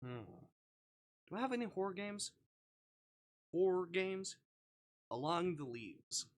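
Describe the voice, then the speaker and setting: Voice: mid-range voice, sing-song, takes it slowly. A live streamer chatting casually while playing visual novels, rambling and thinking aloud.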